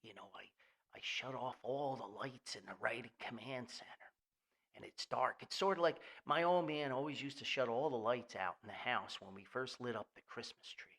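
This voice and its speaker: An older American man hosting a podcast in a rambling, nostalgic monologue, folksy, halting and enthusiastic. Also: mid-range voice, expressive, creaky, a bit bright. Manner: folksy, halting, enthusiastic, refers to himself by name. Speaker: podcast host.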